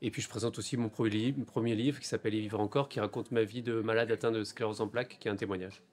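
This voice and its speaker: A man in his forties from France, an author talking about his books in a bookshop interview, thoughtful and hesitant, with many pauses and fillers. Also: fairly low pitch, steady tone, a little mellow. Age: 40s.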